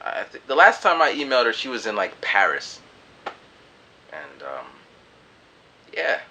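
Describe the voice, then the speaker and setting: Man in his twenties, animated storyteller, bright lively voice, casual vlog delivery. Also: mid-range voice, a little slow, a little hiss or hum. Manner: animated, loose storyteller who acts out voices. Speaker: vlogger. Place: small hot room indoors.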